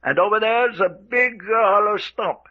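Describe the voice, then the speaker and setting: A man's voice: high-pitched, moderate pace. A man playing a gloating, sinister radio-drama villain who speaks in an affected, chuckling way and stammers over repeated words. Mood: gloating menace. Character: gloating, sinister villain giving menacing guided tour. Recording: dull lo-fi radio recording.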